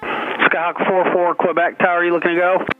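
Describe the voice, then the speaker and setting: A man working as an air traffic controller, giving clipped radio instructions with the odd relaxed aside. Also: high-pitched, moderate pace, phone-quality sound, faint music behind.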